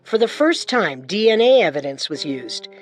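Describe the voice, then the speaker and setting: A woman's voice: fairly low pitch, very animated, moderate pace. A woman reading scripted true-crime narration. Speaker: narrator, co-host. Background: music.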